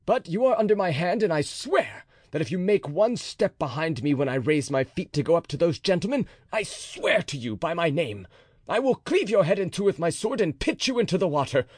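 A man's voice: a little high, sing-song, fairly quick, few pauses, darkish tone, no room sound, studio quality, pitched above the performer's own voice. Man voicing D'Artagnan, a fiery musketeer captain. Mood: furious. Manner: raised, intense, menacing delivery.

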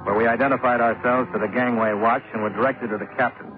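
A man's voice: medium pitch, fairly even intonation, quick pace, narrow, tinny audio, natural voice. Thin 1950s radio sound, music behind, actor as hard-boiled American male investigator, clipped, wry narration. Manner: clipped, wry, matter-of-fact.

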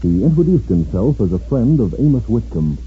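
A man's voice: fairly low pitch, big pitch swings, brisk. A man narrating a radio drama in a 1950s style. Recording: tinny old radio broadcast, music behind.

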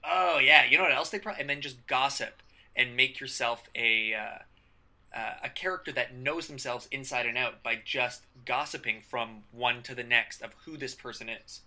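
Bright, animated man riffing on improv. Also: medium pitch, normal speed. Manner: animated, swearing for emphasis, riffs on examples. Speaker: improv podcast co-host. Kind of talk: casual instructional chat.

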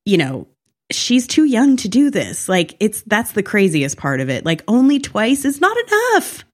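A woman co-hosting a podcast in a casual TV recap chat, with an animated, wry, gossipy manner. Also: a little high, a little slow. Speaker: podcast co-host.

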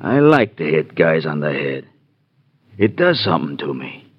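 A man's voice: a bass voice, sing-song, slightly rough, full character voice. An actor playing a hulking, dim-witted henchman who is eager to please and likes hurting people, in a 1940s radio crime drama. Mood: gleeful menace. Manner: slow, simple-minded, eager to please. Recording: dull, noisy 1940s radio recording.

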